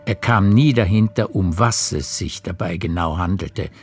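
A man narrating a literary radio play as a measured storyteller. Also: low voice, a little mellow.

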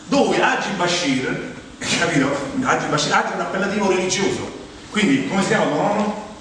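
A middle-aged man with a Roman Italian accent performing a humorous, conversational stage monologue, rambling and animated as he tells stories and addresses his listeners. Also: high voice, fairly quick. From Rome, Italy. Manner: animated, rambling, acts out quoted dialogue, addresses the audience. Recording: very echoey hall, distant mic, clear background noise.

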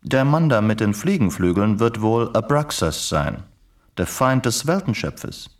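An eccentric English reporter-spy in a radio drama, heard a little away from the microphone. His voice is low, and he lectures with urbane, sardonic erudition. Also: very animated, measured pace. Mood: learned relish. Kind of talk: German radio play acting. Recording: slightly distant radio play recording.

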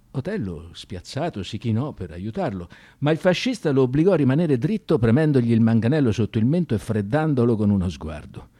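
A male narrator tells a radio-theatre story. His tone is dark and mellow, and his delivery is theatrical and expressive, with pitch that moves widely as he goes.

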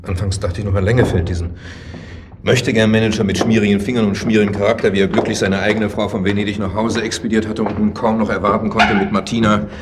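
An actor playing a bitter, agitated husband in a German radio play, confessing in a halting, brooding way and repeating his words. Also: lowish voice, flat delivery, noisy background, natural voice.

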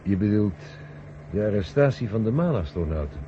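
Man playing skeptical old astronaut buddy, teasing, lowish voice, muffled old radio play sound. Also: animated, normal speed, close to an everyday voice. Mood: curious, incredulous. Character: sceptical, teasing ex-astronaut, male, old friend. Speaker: radio drama actor. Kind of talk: 1970 Dutch radio drama acting. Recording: muffled 1970 radio recording with hiss.

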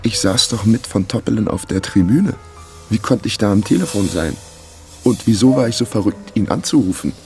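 Wry, low-voiced down-on-his-luck sleuth. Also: animated, normal speed, darkish tone, soft background music. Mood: dry, wry. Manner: dry, sardonic. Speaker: narrator and lead character. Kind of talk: radio crime drama, first-person narration and dialogue.

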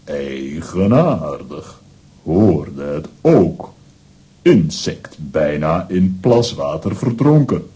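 A gruff but good-natured strongman, with a deep, dark voice. He speaks very slowly in clipped scraps of sentences, in the style of a children's radio play. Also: very animated, full character voice. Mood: puzzled, sceptical. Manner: terse, clipped telegram sentences without articles.

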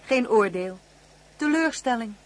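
A woman's voice: fairly high pitch, lively intonation, measured pace. An actress playing a motherly, insistent married woman in a radio play, coaxing and teasing with little tag questions. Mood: soft, coaxing.

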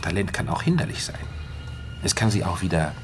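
A very low male voice plays an insinuating mentor and psychologist in a radio drama. He weighs each phrase and pauses deliberately, controlling and smooth. Faint music runs underneath. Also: very animated. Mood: quietly menacing. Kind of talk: German radio drama acting.

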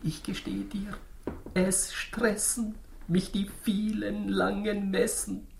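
A woman's voice: medium pitch, little pitch movement, slow pace, gravelly, slight background noise. A woman playing a guilt-ridden, grumbling, tippling parish priest who mutters confessions in a rhymed radio drama. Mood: aggrieved, grumbling.

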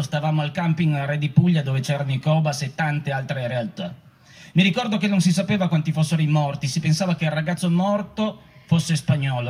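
Husky, high-voiced ex-protester. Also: male voice, darkish tone. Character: male former protester recalling arrest and chaos. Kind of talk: dramatic reading of oral history testimony.

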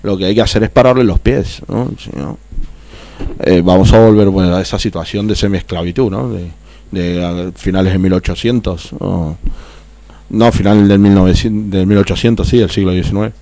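A man's voice: deep voice, normal speed, dark tone, no room sound. A man with an Argentine accent talking heatedly on a political radio chat, repeating and tripping over his words. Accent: Argentine Spanish.

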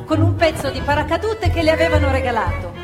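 A woman's voice: high voice, animated, fast, over music. A woman narrating a stage play expressively, with a rhythmic, sometimes half-sung delivery. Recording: live stage recording in a reverberant theatre with violin.

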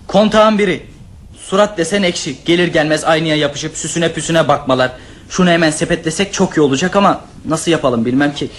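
A man's voice: on the high side, fairly bright tone, close to an everyday voice. An actor playing a sly, bossy young brother who plots with his siblings. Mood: scornful. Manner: conspiratorial, quick-witted. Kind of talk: radio drama acting.

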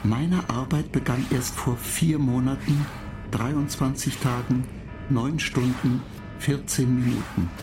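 An actor in a radio play voicing an impassive spaceship computer that makes clipped, monotone technical announcements. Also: medium pitch, takes it slowly, over music. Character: impassive spaceship computer reporting faults and countdowns.

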